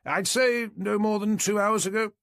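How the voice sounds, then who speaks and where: A man's voice: high-pitched, fairly even intonation, normal speed, fairly bright tone, no room sound, clean studio sound, pitched above the performer's own voice. A man voicing a fussy, exasperated police doctor in an audiobook, with a British accent. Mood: defensive.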